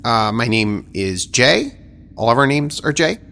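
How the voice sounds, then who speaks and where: A man's voice: mid-range voice, sing-song, a little slow, vocal fry, bright, forceful tone, exaggerated voice. A man playing a chatty, blunt male blue jay, rambling with many fillers in improvised comedy.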